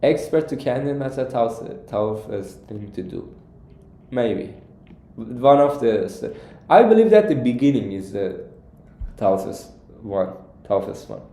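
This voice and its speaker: Young man, Iranian accent, soft dark tone, halting casual live-stream coach, roomy echo. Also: mid-range voice, expressive. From Iran. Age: young adult. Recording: echoey, roomy sound.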